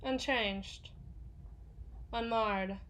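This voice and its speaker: A woman playing a jaded, foul-mouthed bodyguard confiding in a partner in an intimate ASMR roleplay, halting and often sinking into whispers. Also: fairly high pitch, a bit bright, a little hiss or hum, poor audio. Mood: bitter.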